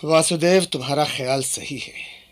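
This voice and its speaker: An actor playing a revolutionary's loyal, sober comrade in a radio play, speaking in a measured, earnest way. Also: male voice, on the high side, close to an everyday voice. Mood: sober, supportive.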